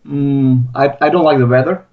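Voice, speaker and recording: A man's voice: medium pitch, steady tone, normal speed. A young man with a Balkan accent, chatting casually as a podcast guest over a lo-fi internet call. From Bosnia. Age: young adult. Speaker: podcast guest, sports science researcher. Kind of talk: casual chat. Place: remote Skype call. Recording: dull, lo-fi internet call audio.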